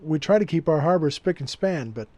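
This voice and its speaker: A man's voice: a little high, lively intonation, brisk, mellow, no echo. A man playing a flustered, apologetic, put-upon dock boss in a comic 1940s radio play. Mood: apologetic, earnest. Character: flustered, apologetic harbor boss, a worried stooge of the mob.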